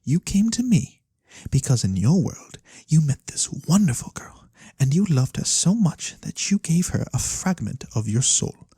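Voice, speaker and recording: A performer voicing a mysterious, courteous fantasy lorekeeper in a soft-spoken ASMR roleplay, breathy and theatrical with knowing pauses. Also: mid-range voice, big pitch swings, no echo, full character voice. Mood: knowing, hushed. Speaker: storyteller character.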